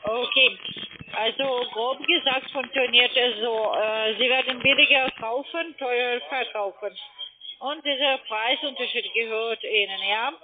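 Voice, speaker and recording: A woman who is a non-native German speaker, giving a formal, polite telephone sales pitch. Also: unhurried, background noise. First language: not German. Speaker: telephone investment sales agent. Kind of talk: scripted phone sales pitch. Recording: muffled narrow phone line.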